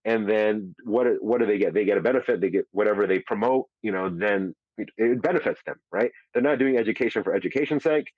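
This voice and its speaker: Fast-talking low-voiced man, profane and rambling. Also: no echo, dull audio. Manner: rambling, profane, frequent restarts. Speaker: host. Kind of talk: casual live audio show chat. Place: audio chat room.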